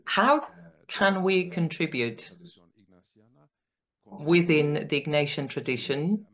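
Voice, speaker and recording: A man from Sweden, commenting from the floor of a panel discussion in a conference hall, deliberate and a bit provocative. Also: on the high side, a bit bright. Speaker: audience member. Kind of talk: question from the floor.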